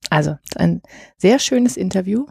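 A woman's voice: medium pitch, expressive, measured pace, vocal fry, mellow, husky, no room sound, clean studio sound. A woman hosting a podcast interview, talking in a hesitant way with many fillers, recorded in a coworking space. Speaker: podcast host. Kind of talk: conversational interview.

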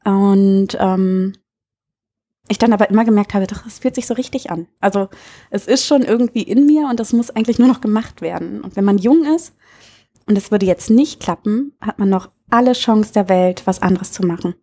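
A woman's voice: medium pitch, normal speed, mellow. A woman in her thirties from Berlin, chatting casually and openly as the guest in an interview. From Berlin, Germany. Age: 30s. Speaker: interview guest, bar owner.

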